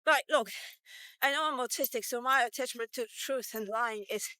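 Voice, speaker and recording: A woman's voice: on the high side, moderate pace, bright, forceful tone, clear, resonant tone, no room sound. A woman in her fifties telling a personal story casually, in a blunt, conversational, sweary way, as a vlogger. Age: 50s.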